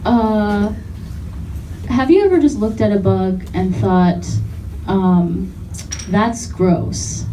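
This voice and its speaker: A woman's voice: mid-range voice, extremely slow, mellow. A woman hosting a comedy science show, interviewing guests on stage in a chatty, giggly way with many fillers. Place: small comedy theatre with audience. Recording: distant mic, audience and hiss.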